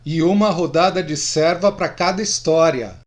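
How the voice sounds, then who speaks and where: A man's voice: a little high, normal speed, lightly acted. A man playing a middle-aged friend confessing old secrets over drinks in a radio drama. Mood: eager.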